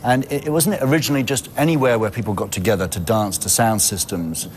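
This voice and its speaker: A man with a fairly low voice hosts a music interview. He speaks quickly in a chatty presenting style, with faint noise behind him. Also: a little mellow. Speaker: interviewer, show host.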